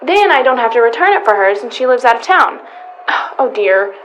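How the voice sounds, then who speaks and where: A woman plays a genteel matron in an old-time radio comedy. Her voice is high and very animated, fussy and motherly, with faint noise behind. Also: normal speed, poor audio. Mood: chatty, pleasant. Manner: motherly, chatty.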